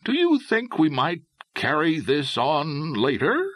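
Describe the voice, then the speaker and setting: A man voicing a stoic, patient gentleman adventurer in an audiobook, calm, measured and understated. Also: fairly high pitch, no echo, clean studio sound, pitched above the performer's own voice, exaggerated voice. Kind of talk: audiobook character voice.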